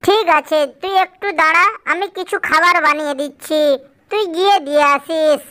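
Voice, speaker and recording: Mother bird , female performer: very shrill-high, bright, firm, loving, children's cartoon. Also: moderate pace. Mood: approving, motherly.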